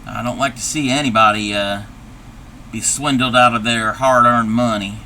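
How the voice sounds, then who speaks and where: A man's voice: mid-range voice, fairly even intonation, moderate pace, pushed and bright, a little hiss or hum, rough recording. A man voicing a chatty, forgetful old grandmother who rambles, repeats herself and reads viewer chat aloud while crafting on a livestream. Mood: rambling. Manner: rambling, loses her train of thought, repeats herself. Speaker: streamer.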